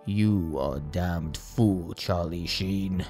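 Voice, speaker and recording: A man's voice: sits low, dark tone, faint music behind. A man voicing a stern, solemn, patriotic cartoon cat hero in an absurd storybook reading. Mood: menacing. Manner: slow, solemn, melodramatic delivery. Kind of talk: absurd fan fiction audiobook reading.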